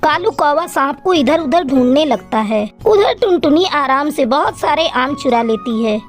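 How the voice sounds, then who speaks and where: Female storyteller for kids' cartoon: high register, scripted narration over light music. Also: expressive, moderate pace.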